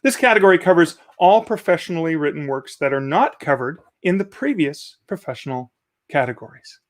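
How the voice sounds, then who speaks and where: A man's voice: a little high, animated, brisk. Upbeat male awards emcee. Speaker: awards host. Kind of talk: live-streamed awards presentation. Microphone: video call.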